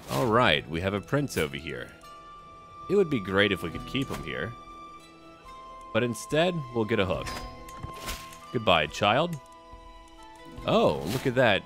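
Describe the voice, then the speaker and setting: Male gaming host, low register, very varied intonation, offhand delivery, talks to the on-screen characters. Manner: talks aloud to game characters. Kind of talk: casual gameplay commentary.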